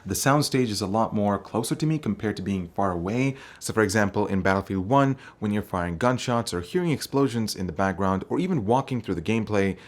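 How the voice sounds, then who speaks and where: Male tech reviewer, low bassy voice, conversational, lots of "uh". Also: expressive, brisk, few pauses. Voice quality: deep bassy voice. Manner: conversational, hedging with fillers. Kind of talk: casual product review.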